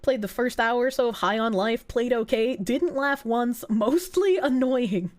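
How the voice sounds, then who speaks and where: A woman's voice: a little high, a little slow, darkish tone. A woman with a Boston accent streaming and chatting casually with her viewers, swearing freely and laughing a lot, while she is getting over a cold. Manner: laughs often, swears freely, rambles in tangents. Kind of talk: casual chat, reading and answering viewer chat.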